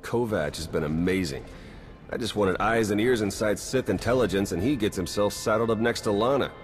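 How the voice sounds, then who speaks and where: An actor playing a battle-worn secret agent in a video game, wry and rueful, speaking in clipped informal phrases. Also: male voice, on the low side, brisk, lightly acted. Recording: long hall reverb, music behind.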